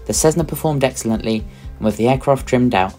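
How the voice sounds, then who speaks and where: A man's voice: mid-range voice, quick pace, a little mellow. A man giving a scripted voice-over narration for a pilot's vlog. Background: music.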